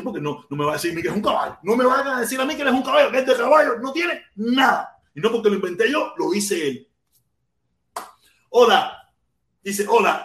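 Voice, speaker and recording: A man's voice: sits high, fairly bright tone. A Cuban man with a Cuban accent hosting an informal livestream, chatting with commenters in repetitive, slangy, swear-laden talk. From Cuba. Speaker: livestream host. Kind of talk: informal live political rant and chat with commenters. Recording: echoey untreated room.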